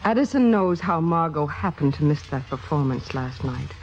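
An actress playing a young, ambitious, coolly calculating stage actress in a 1950s radio drama, poised and theatrical. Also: female voice, deep voice, lively intonation, moderate pace, a little mellow. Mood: coldly menacing. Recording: muffled vintage radio broadcast.

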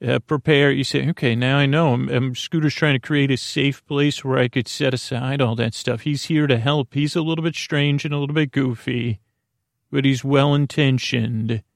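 Man, gravelly creak, medium pitch, dry close sound, rambling bedtime monologue, hesitant, drifting podcast host. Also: steady tone, moderate pace, no room sound. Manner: meandering, hesitant, trailing off into tangents. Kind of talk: rambling bedtime monologue to help listeners sleep.

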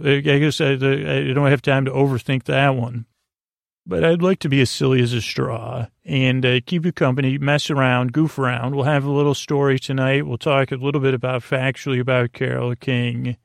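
A man with a creaky voice hosting a sleep podcast, rambling in a lulling, silly, meandering way with halting self-corrections. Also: medium pitch, dry recording, studio quality. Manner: lulling, soothing. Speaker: podcast host.

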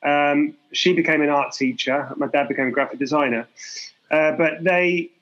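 A man's voice: on the high side, fairly even intonation, moderate pace, fairly bright tone, lo-fi sound. Man being a rambling, self-deprecating artist. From England. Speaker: guest artist. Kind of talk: candid interview conversation.

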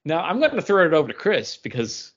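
A man's voice: on the high side, huge pitch swings, fast, slightly rough, lo-fi sound. A man co-hosting a podcast in casual chat, rambling and often repeating himself as he goes. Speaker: podcast co-host. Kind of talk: casual comic book chat.

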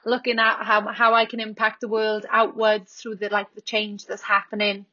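A woman's voice: medium pitch, completely flat delivery, normal speed, pushed and bright, highs cut off. A Welsh woman in her thirties, a podcast guest chatting casually about her business, with frequent ums and restarts. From Wales. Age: 30s. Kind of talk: casual interview chat.

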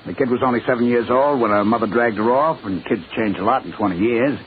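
An actor with an American accent playing a slick, pushy small-time swindler in old-time radio drama. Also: male voice, medium pitch, quick pace, lightly acted. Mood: scheming. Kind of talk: 1950s radio drama acting. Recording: tinny, hissy old radio recording.

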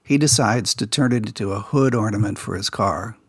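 Man, low voice, husky, dry close mic, podcast host, casual recap, behind-the-scenes storytelling. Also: brisk, no echo. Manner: relaxed insider storytelling, recounting production details. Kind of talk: casual episode recap commentary.

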